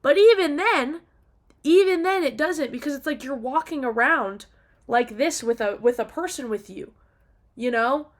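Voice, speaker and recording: A woman's voice: high-pitched, lively intonation, moderate pace. A woman talking casually and off the cuff in an opinionated, emphatic rant, exasperated and casually sweary. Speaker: video diarist.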